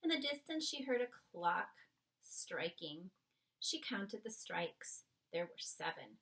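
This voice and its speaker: A woman reading a storybook aloud as narrator, with dramatic, measured phrasing. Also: mid-range voice, very animated, a bit bright.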